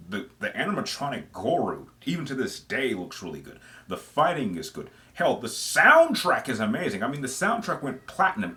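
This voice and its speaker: A man's voice: on the low side, pushed and bright. A man in his thirties giving opinionated, conversational countdown commentary as a reviewer, with an enthusiastic, emphatic way of talking. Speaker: video game movie reviewer, host.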